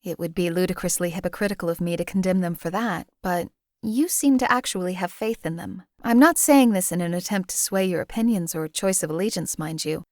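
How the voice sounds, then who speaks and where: A woman voicing a cold, detached female hired assassin who speaks in a measured, formal, cynical way. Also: fairly low pitch, darkish tone, dry recording, studio quality, close to an everyday voice. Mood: cool, detached. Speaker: companion character voice. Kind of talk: game voice lines.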